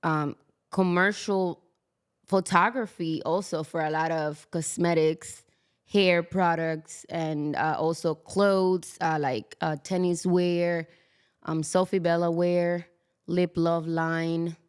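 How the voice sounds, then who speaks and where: Young dominican-born model gal, lowish smooth voice, chatty, lots of um and like. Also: female voice, slow. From Dominican Republic. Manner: animated, laughs often, many fillers. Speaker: guest, model. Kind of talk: casual interview chat. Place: South Florida.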